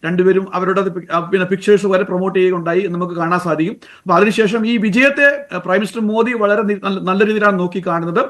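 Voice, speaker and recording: A man with a Malayali accent giving unscripted live commentary as a news correspondent, haltingly, with frequent English fillers. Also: sits high, a bit bright.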